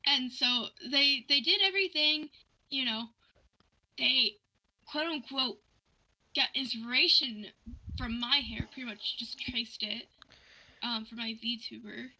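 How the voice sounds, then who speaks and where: A young woman chatting casually, telling a story in an animated, ranting way and acting out both sides of conversations. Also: a little high, slow pace, long pauses, rough recording.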